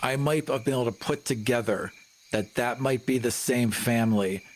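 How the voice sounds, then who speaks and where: A man's voice: medium pitch, normal speed, a little hiss or hum, poor audio. A man playing a tabletop roleplaying game, casually narrating his character's actions in a descriptive, cinematic way.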